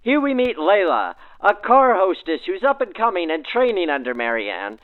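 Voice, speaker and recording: A man's voice: sits high, sing-song, moderate pace, narrow, tinny audio. A man narrating from a script in a grand, formal 1940s radio announcer style, through a vintage radio filter. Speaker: narrator and host. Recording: band-limited 1940s-style radio sound.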